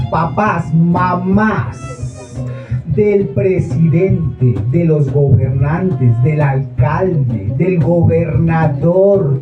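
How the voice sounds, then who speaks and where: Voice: mid-range voice, highs cut off. A stage performer addressing a live audience in a theatrical announcing style. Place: small theatre workshop, recorded from afar. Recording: echoey, boxy live theatre recording.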